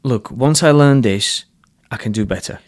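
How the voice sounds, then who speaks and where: A man's voice: medium pitch, moderate pace, a little mellow. A man with a Slovak accent hosting a podcast interview, talking bluntly and earnestly with frequent restarts and some swearing. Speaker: podcast host. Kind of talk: casual interview conversation.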